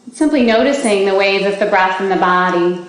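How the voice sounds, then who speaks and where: Woman guiding meditation in a very echoey room. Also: medium pitch. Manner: slow, gentle instructions with long pauses. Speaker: meditation teacher leading a body scan. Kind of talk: guided meditation, spoken live to a group. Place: large indoor gathering space. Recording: very echoey room with faint background noise.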